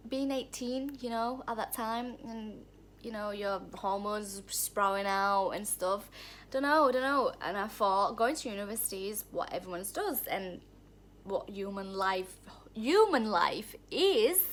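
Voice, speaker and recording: A woman's voice: mid-range voice, lively intonation, unhurried, a bit bright, slight background noise. Candid woman telling her story. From Thailand. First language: Thai. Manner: candid, rambling, restarts often, heartfelt. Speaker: vlogger. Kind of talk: personal story vlog. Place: indoor room at home.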